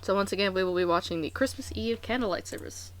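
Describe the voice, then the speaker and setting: A woman hosting a reaction video, commenting casually in an animated, exclamatory, slangy way. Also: mid-range voice, fast, music in the background.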